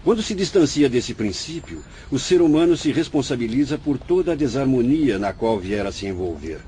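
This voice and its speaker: A man's voice: medium pitch, big pitch swings, dark tone, slight background noise, close to an everyday voice. A man with a Brazilian accent playing a venerable, patient old spirit doctor who explains solemnly, in a religious radio drama. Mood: solemn, instructive. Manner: didactic, measured, solemn explaining.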